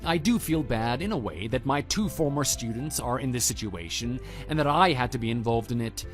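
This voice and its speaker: A man voicing a stern, principled former schoolteacher in a scripted audio drama, speaking in a measured, matter-of-fact way. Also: medium pitch, animated, lightly acted. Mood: reflective, troubled. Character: stern, principled male former homeroom teacher, calm and reproving. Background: background music.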